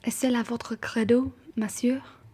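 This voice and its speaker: A young woman playing a scheming, diplomatic genteel traveller in a French radio play, measured and insinuating. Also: a little high, little pitch movement, mellow, slightly rough. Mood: unctuous.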